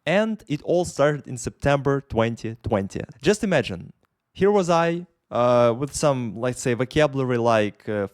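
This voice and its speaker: A man's voice: medium pitch, no room sound. Man, Russian accent, rambling unscripted vlog talk, dry close sound. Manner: rambling, many fillers and restarts. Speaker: YouTuber. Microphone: camera in front of him.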